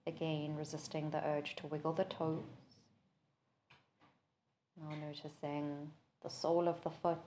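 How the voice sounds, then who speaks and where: A woman leading a guided body scan meditation, giving gentle, measured instructions with long pauses between phrases. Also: deep voice, little pitch movement, a little hiss or hum. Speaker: podcast host and meditation teacher.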